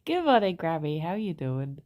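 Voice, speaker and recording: A woman's voice: deep voice, sing-song, normal speed, a little mellow. A woman with a stuffed-up nose, chatting casually with her live chat, greeting viewers by name and giggling often. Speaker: streamer.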